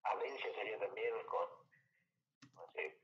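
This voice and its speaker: An Argentine man teaching a logic lesson at a relaxed, patient pace, often dropping in short check-in questions. His voice sits in a middle range and comes through a dull, echoey call line. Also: lowish voice, measured pace. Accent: Rioplatense Spanish. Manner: patient, explanatory, checks understanding with tag questions. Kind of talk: tutoring lesson over a call.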